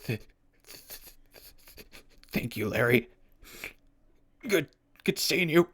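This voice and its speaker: A man's voice: medium pitch, lively intonation, takes it slowly, a bit bright, slightly rough, heavily acted. A man playing a wry, big-hearted wasteland radio host in an audio drama, with a folksy manner. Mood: nervous. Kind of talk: post-apocalyptic audio drama acting.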